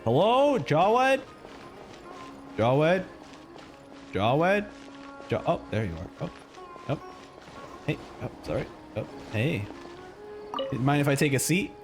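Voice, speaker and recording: A man's voice: a little high, sing-song, slow pace, long pauses, over music. A man streaming a game, giving casual, rambling live reactions and commentary.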